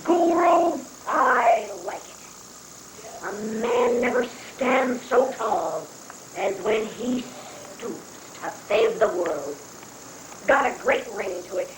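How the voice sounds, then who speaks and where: A folksy, anxious president acted by a woman blusters and wavers in a high, theatrical voice. The satirical stage reading is captured in an echoing room with clear background noise. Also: lively intonation, slow. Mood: resolved. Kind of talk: dramatic reading of a satirical play. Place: roomy indoor live reading. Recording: roomy, noisy 1980s live recording.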